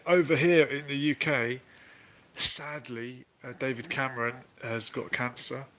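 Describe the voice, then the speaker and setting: A man's voice: mid-range voice, fairly even intonation, unhurried, a bit bright. A man hosting a casual live stream over a video call, with jokey, wry asides. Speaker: host.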